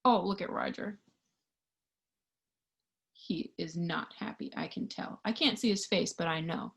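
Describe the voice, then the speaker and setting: A woman reading a picture book aloud to young children in an expressive, child-directed storytime style. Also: lowish voice, unhurried, vocal fry. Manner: expressive, child-directed, with questions and sound effects.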